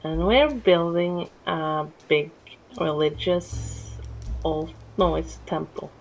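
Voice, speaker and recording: A woman's voice: low voice, slow, vocal fry, faint music behind, poor audio. A middle-aged woman with a Norwegian accent, talking through a city-building game in a rambling, easily distracted way with lots of restarts. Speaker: gameplay streamer. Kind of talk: casual let's-play commentary. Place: home.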